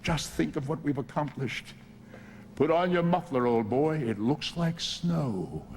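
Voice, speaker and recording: An actor playing an eccentric, excitable inventor in a radio drama, optimistic and absent-minded, stammering and repeating words. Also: male voice, fairly high pitch, measured pace, a little hiss or hum. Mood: urgent, excited. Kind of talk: old-time radio drama acting. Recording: echoey radio drama production.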